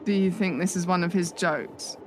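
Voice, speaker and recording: A woman's voice: lowish voice, steady tone, moderate pace, faint music behind, natural voice. A woman playing an observant, dryly sceptical new employee in a radio play, with a questioning manner. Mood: curious, sceptical. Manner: dry, questioning.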